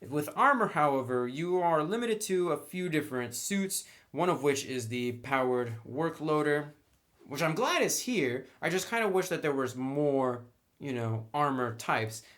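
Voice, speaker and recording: A man's voice: on the high side, animated, measured pace, fairly bright tone. A man giving a casual, unscripted review as he browses through a book, talking conversationally through each item.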